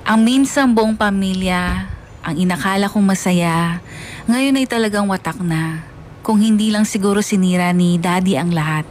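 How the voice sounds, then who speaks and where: A woman's voice: mid-range voice, normal speed, a little mellow, slight background noise, close to an everyday voice. A woman voicing a grown son reflectively narrating a life story in a scripted radio drama, sentimental in manner. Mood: hurt, wistful. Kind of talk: scripted first-person narration.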